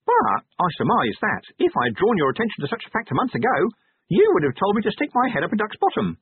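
A tiny, proud imp from a storybook, voiced by a man, chirpy and officious. It speaks high with swooping pitch and pedantic formality, over narrow, phone-like sound. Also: huge pitch swings, fast talker, husky, no room sound, exaggerated voice. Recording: narrow-band, phone-like audio.

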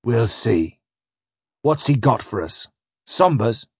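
A man's voice: mid-range voice, sing-song, unhurried, creaky, fairly bright tone, dry recording, studio quality, heavily acted. A man voicing a bad-tempered, domineering sea captain in an audiobook, growling and barking his lines. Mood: grudging, suspicious. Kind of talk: dramatised audiobook dialogue.

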